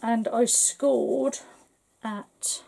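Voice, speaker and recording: A middle-aged woman from England, slightly hoarse, chatting her way through a papercraft tutorial at her desk with lots of fillers. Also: fairly high pitch, steady tone, drawn-out words, warm tone, a little hiss or hum. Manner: chatty, rambling, full of fillers. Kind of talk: casual crafting tutorial.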